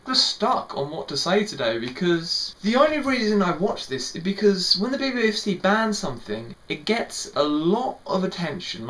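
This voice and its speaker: A man's voice: high voice, a bit bright. A young man giving a casual spoken film review, rambling a little and repeating words, with lots of "sort of" and "like".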